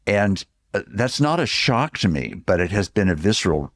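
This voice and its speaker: An American man hosting a reflective interview, speaking in deliberate, measured phrases with pauses. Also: lowish voice, big pitch swings. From Pacific Northwest, United States. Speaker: podcast host and interviewer.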